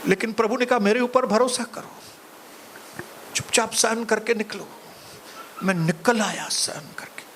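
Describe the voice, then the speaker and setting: High-voiced, unhurried preaching pastor. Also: male voice, a little hiss or hum. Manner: preaching, rising to shouted calls to the congregation; soft, low, slow in prayer. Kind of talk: sermon and closing prayer.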